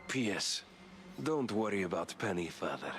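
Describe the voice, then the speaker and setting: An actor playing a stern villain reading out a chilling letter in a measured, solemn way for a video game. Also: male voice, deep voice, expressive, background noise. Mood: solemn, ominous. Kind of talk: dramatic game voice acting.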